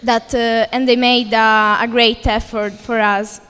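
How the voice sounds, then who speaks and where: A woman's voice: fairly high pitch, little pitch movement, measured pace, fairly bright tone, clean tone. A teenage girl, a student giving a short, hesitant speech full of fillers. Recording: reverberant hall.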